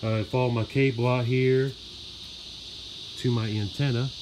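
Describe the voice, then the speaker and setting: A man casually showing his radio setup, talking with lots of "uh" pauses as he walks around his garage and yard. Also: mid-range voice. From Florida. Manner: relaxed, filler-heavy, explaining as he goes. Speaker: radio hobbyist demonstrating his gear. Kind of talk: casual show-and-tell. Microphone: handheld phone camera. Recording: noisy garage recording with radio hiss.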